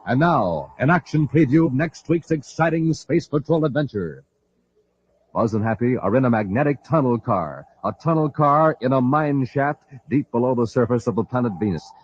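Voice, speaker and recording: A man's voice: mid-range voice, animated, normal speed. A male radio announcer with a dramatic, theatrical delivery in the style of a 1950s adventure serial. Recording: dull, noisy old radio transcription.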